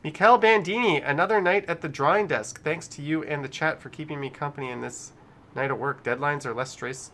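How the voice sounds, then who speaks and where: A man's voice: mid-range voice, a bit bright, slight background noise. A man hosting a casual livestream, chatting informally and reading out viewer messages as he comments. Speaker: livestream host.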